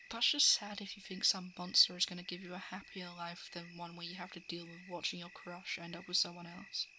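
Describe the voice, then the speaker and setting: A woman's voice: lowish voice, level tone, brisk, close to an everyday voice. A woman playing a guarded, coolly predatory shapeshifting monster who eats hearts, in an ASMR roleplay monologue. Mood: dismissive, wistful. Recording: clear background noise of night insects, frogs. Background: crickets, frogs and night insect ambience.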